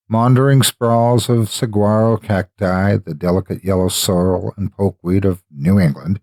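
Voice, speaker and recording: A man narrating a scripted horror story in a measured, theatrical, emphatic delivery. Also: lowish voice, a little mellow, no echo, studio quality.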